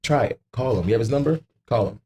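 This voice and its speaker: A man's voice: mid-range voice, animated, fast, a little mellow, slight background noise. A man guesting on a podcast in casual chat, emphatic and profane, often repeating his phrases for effect.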